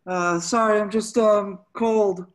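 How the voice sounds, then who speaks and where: A man's voice: sits high, steady tone, takes it slowly. A man reading the part of an awkward, smitten young slacker in an amateur table read, stammering and hesitant. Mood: flustered. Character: awkward, smitten, dim young male slacker, nervously earnest. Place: online group video call.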